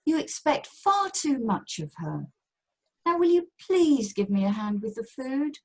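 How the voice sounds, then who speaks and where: An amateur actress playing a put-upon, placating middle-aged British wife in a radio play. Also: female voice, sits high, very animated, a little slow, exaggerated voice. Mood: pleading, harried. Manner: harried, conciliatory.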